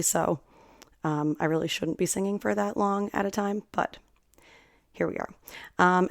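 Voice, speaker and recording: A woman in her twenties, slightly hoarse, hosting a solo podcast in a chatty, rambling, big-sister way. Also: fairly low pitch, dry recording, clean studio sound. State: slightly hoarse from singing at a concert. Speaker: podcast host. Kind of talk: casual solo podcast chat.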